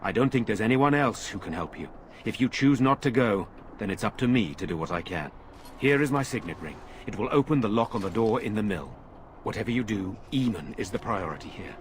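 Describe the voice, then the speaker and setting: An actor playing an earnest, resolute noble lord giving orders in a video game. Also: male voice, on the low side, brisk, slight background noise, lightly acted. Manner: earnest, steady, commanding.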